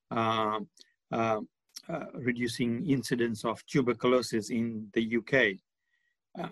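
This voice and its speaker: A man's voice: medium pitch, fairly bright tone, dry recording. A man in his sixties from India and Malawi, talking discursively as a guest in a conversational interview. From born in India, grew up in Malawi. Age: 60s. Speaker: podcast guest, charity development manager. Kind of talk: conversational interview, reflective account. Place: remote online call.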